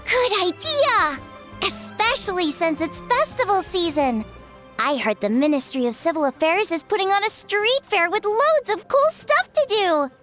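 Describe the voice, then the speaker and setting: A sweet young girl from a video game, very high and girlish. The delivery is lively, earnest and caring, like a supportive friend's. Faint music sits behind the voice. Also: a little slow, exaggerated voice. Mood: cheerful. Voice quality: youthful, girlish. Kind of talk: English video game dub acting.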